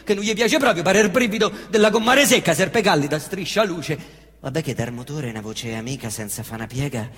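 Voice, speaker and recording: A man acting a young Roman street hustler in a stage monologue, with a Roman accent, veering from muttering to shouting and cursing. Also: on the high side, expressive, fairly quick. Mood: feverish. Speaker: stage actor. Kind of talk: theatrical monologue. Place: theatre stage. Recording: echoey theatre reverb.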